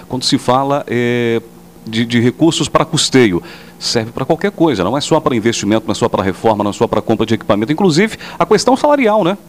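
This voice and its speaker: A man's voice: medium pitch, slight background noise. A man working as a local reporter, interviewing politicians with leading questions.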